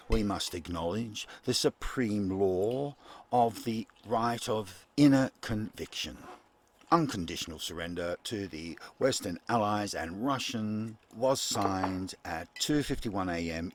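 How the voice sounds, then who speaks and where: A man playing a solemn 1940s wartime radio newsreader, reading a formal bulletin in a measured, deliberate way. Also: fairly low pitch. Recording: clearly noisy recording with clicks.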